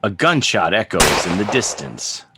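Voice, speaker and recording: A man with a husky voice narrating scripted scene directions for a dramatic table read. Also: sits low, big pitch swings, measured pace, background noise. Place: remote video call read. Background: music under the voice.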